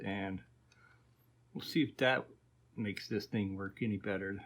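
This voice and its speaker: A man hosting a casual repair video, talking informally and thinking aloud as he works. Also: medium pitch. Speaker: host of an electronics repair video. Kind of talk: casual workbench vlog narration.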